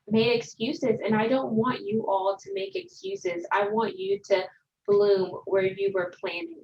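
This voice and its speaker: A woman's voice: mid-range voice, muffled recording. Woman motivational speaker, encouraging and upbeat, video call audio.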